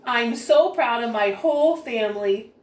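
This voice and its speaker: A woman's voice: a little high, expressive, a little slow, slight background noise. A woman reading a picture book aloud expressively, enunciating with care for young children. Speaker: children's story reader. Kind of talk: expressive storybook read-aloud. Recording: roomy echo, distant microphone.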